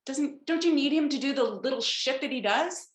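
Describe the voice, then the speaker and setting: A woman's voice: high voice, moderate pace, natural voice. A woman playing a snide, defiant executive in her late thirties, scornful and sarcastic, in a comedic table read. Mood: indignant. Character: snide, defiant 39-year-old female development executive. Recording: roomy home video-call audio.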